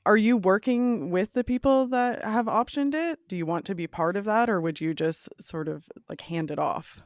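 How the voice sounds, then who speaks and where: A woman's voice: medium pitch, normal speed, dry recording. A woman co-hosting a podcast, chatting and asking questions in a casual interview style. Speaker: podcast co-host.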